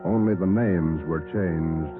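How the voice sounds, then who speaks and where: American man, solemn old radio announcer, lowish voice, music behind. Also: slow pace. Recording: tinny old radio broadcast recording.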